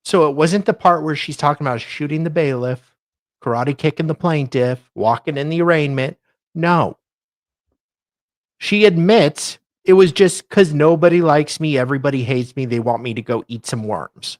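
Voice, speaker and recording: A man's voice: a little high, moderate pace, dry recording, studio quality. A man co-hosting a show, reading legal filings dramatically with sardonic, mock-theatrical asides. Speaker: co-host doing a dramatic reading.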